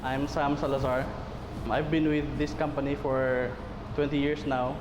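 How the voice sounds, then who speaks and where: A man, speaking fluently and in a measured way as an employee giving an interview testimonial. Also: medium pitch, level tone. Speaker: company employee. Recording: roomy with background noise.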